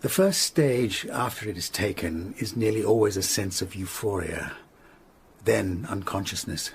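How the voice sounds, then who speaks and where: An actor playing a grave, methodical Tudor physician in a radio drama, explaining in a measured way. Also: male voice, low-pitched, creaky, slightly rough, full character voice. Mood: grave, analytical.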